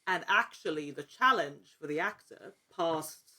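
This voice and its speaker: A woman's voice: low voice, lively intonation, unhurried, bright, forceful tone. A woman who works as an actor, chatting casually and enthusiastically as an interview guest, with emphatic wording.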